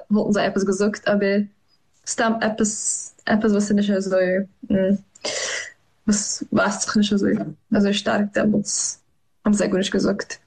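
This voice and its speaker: A young woman, answering interview questions in a halting way, with many fillers and restarts. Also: mid-range voice, a little mellow. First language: Yiddish. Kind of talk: hesitant conversational interview.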